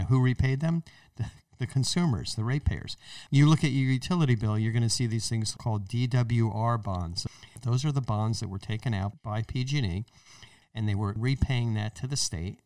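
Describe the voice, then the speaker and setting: An American man talks through the issues as a podcast host, with a very lively, swooping delivery at a medium pitch. He is conversational and emphatic, often putting questions to the listener. The sound is dry and a little off the microphone. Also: no echo, recorded from a distance. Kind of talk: explanatory talk-show monologue on current affairs.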